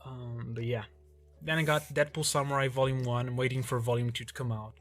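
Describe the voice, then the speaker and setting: A young man from Portugal whose native language is Portuguese, casually showing his manga shelves in a relaxed, rambling way with frequent ums and restarts. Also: medium pitch, a little fast, soft background music. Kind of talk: casual collection tour vlog.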